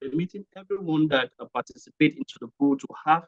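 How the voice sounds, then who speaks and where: A man's voice: on the high side, fast talker. Muffled Cameroonian man giving an online talk. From Cameroon, English-speaking region. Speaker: speaker sharing testimonial at online training. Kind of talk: informal presentation to an online group.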